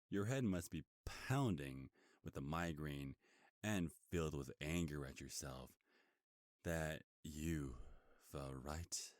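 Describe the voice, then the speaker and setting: Werewolf bounty hunter, played by a male ASMR actor: rock-bottom pitch, cocky, teasing, menacing, very dry sound. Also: darkish tone, dry recording, heavily acted. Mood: taunting. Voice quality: booming deep voice. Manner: smug, slow teasing menace. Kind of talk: ASMR roleplay acting.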